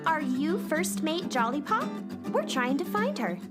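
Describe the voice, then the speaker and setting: Eager young space cowgirl, acted by a woman, extremely high voice, earnest, swooping intonation, children's radio play, music behind. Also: sing-song, unhurried. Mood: curious.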